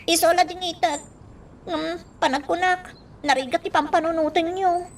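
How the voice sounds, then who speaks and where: A very high, youthful voice, slightly away from the mic: a worried daughter cautioning her mother in a radio drama. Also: fairly even intonation, normal speed. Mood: anxious, reproachful. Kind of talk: Ilocano radio drama acting. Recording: distant mic, faint background noise.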